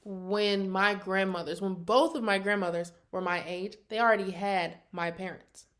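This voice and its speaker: An American woman in her early twenties chatting casually to her vlog viewers in an animated, sassy, slangy storytelling way. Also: mid-range voice, normal speed. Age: early 20s. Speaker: vlogger.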